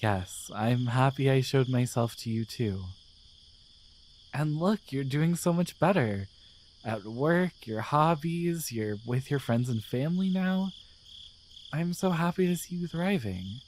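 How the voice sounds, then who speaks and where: Slow, tender guardian angel, voiced by a man. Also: medium pitch, lively intonation, slight background noise, natural voice. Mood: warm, grateful. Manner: tender, hesitant, softly reassuring. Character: gentle, devoted male guardian angel in love with his human. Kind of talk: romantic ASMR roleplay.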